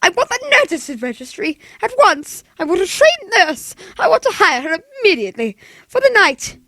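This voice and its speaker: An actress playing a frightened, demanding invalid wife alone at night in a radio thriller, with an imperious, nervous delivery. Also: female voice, very high voice, very animated, normal speed, a bit bright, no echo, heavily acted. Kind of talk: old-time radio thriller acting.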